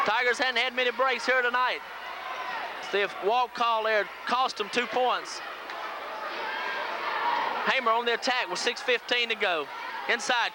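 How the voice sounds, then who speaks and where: A man doing live radio play-by-play of a high school basketball game in short, clipped phrases. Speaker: radio play-by-play sports announcer. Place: school gym during a game. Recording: noisy 1980s local radio audio from VHS. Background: loud gym crowd and noise.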